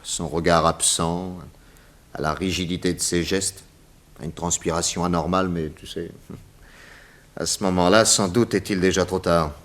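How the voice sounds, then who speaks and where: An actor playing a confident detective boss in a 1960s French radio serial, explaining in an emphatic, didactic, theatrical way with dramatic pauses. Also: male voice, low voice, steady tone, lightly acted. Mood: insistent, didactic. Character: confident male detective boss explaining crimes to his assistant.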